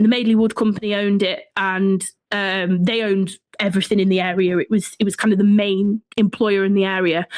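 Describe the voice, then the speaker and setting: A woman with a Shropshire accent talks as a guest, telling a piece of history in a conversational way. Her pitch sits in the middle for a woman. The sound is dry, with very little room in it. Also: no room sound. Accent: Shropshire English. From Shropshire, England. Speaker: podcast guest, folklore and history writer.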